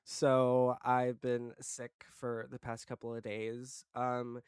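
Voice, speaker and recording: A man's voice: mid-range voice, completely flat delivery, slow pace, clear, resonant tone, no echo, clean studio sound. A young man with a congested voice, chatting casually as a podcast co-host and rambling with lots of "like" and "um.". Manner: rambling, heavy on "like" and "um". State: congested from illness. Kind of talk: casual chat.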